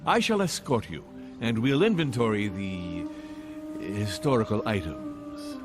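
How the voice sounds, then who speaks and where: An actor playing a haughty, shrewd dwarf baron in a video game, speaking in a formal, imperious and deliberate way. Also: male voice, fairly low pitch, expressive. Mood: courteous, commanding. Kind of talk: video game cutscene acting. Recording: game music behind the voice. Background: game music.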